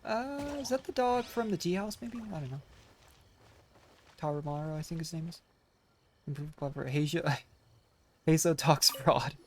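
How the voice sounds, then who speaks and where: A woman giving low-key, musing casual commentary while playing a game. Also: normal speed, pauses often, a little hiss or hum. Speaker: gameplay streamer. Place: indoors, over game audio. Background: faint game music.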